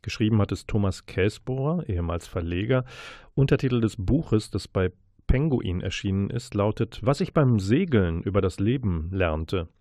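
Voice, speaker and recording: A man's voice: lowish voice, expressive, normal speed, warm tone, dry recording, studio quality. A man hosting a radio book show, presenting and interviewing in long, elaborately worded sentences.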